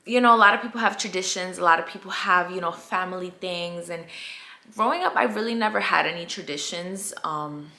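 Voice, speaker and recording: Twenties woman from colombia, candid storytime vlog, kinda low voice but bright and forceful, roomy echo. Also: fairly quick. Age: 20s. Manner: chatty and candid, repeats words, drops to whispers for asides. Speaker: vlogger. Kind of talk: casual storytime chat to camera.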